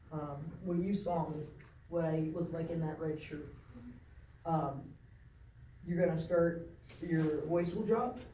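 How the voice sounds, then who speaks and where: A man from Nebraska telling his story to a group of young people in an informal talk, pausing often with lots of ums and restarts. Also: high voice, dark tone, a little hiss or hum. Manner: halting, many ums and restarts. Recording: echoey room from a distance.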